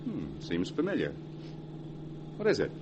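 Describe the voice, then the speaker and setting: An actor playing a husband in a 1940s radio horror drama, in period radio style. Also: male voice, on the low side, wildly swooping pitch, measured pace. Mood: breezy. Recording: noisy old radio, engine hum behind.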